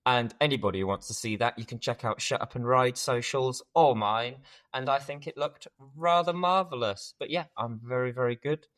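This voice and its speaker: Man, medium pitch, casual podcast co-host, dry teasing banter. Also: moderate pace, hardly any pauses, a bit bright.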